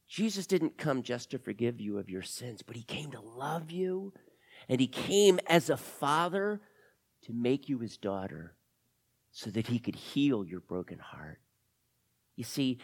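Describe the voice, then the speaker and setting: A male storyteller playing a caring young girl in a tale, speaking gently and earnestly to console. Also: medium pitch.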